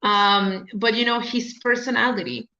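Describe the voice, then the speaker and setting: A woman's voice: mid-range voice, normal speed, pushed and bright. Mexican-accented woman, football podcast host, loose rambling delivery with lots of ums, slightly dull lo-fi audio. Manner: rambling, thinks aloud with many fillers. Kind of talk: casual sports talk.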